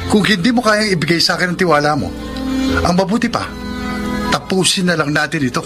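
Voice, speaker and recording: A man's voice: fairly high pitch, animated, a little fast, close to an everyday voice. A man playing a slightly effeminate, earnest boyfriend who is defensive and easily wounded, in a radio romance drama. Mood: hurt, indignant. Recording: music bed under the voice.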